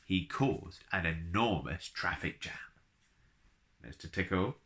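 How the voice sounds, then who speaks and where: A man reading a children's storybook aloud in an expressive, playful way, with chatty asides to the listener. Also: deep voice, takes it slowly. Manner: playful, addresses listeners directly.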